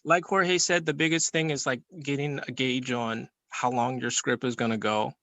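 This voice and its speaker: A man's voice: mid-range voice, steady tone, moderate pace, a bit bright, no echo. A man giving his view in a casual group discussion. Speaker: study group participant.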